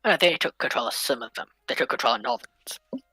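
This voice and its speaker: A woman's voice: rumbling low, lively intonation, fast talker, sharp tone, husky, no room sound. A woman chatting casually and debating history as a co-panelist in a discussion.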